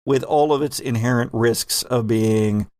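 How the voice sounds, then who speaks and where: A man's voice: medium pitch, a little slow, a little mellow, no room sound. A man working as game master, narrating a tabletop game in an improvised, descriptive style that builds scenes in lists.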